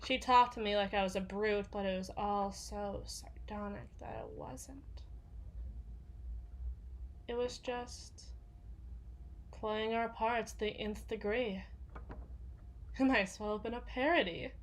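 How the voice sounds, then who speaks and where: A woman playing a gruff, sweary villain's bodyguard who confides haltingly and sardonically in an intimate ASMR audio roleplay. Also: mid-range voice, steady tone, a little hiss or hum. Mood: wistful grief. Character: gruff, foul-mouthed female villain's bodyguard, sardonic and haunted.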